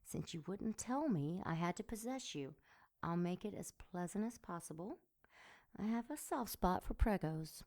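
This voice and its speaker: A woman playing a sardonic, seductive succubus demon in an audio drama, sultry and mocking in a deliberate way. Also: on the low side, expressive, a little mellow. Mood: smug teasing.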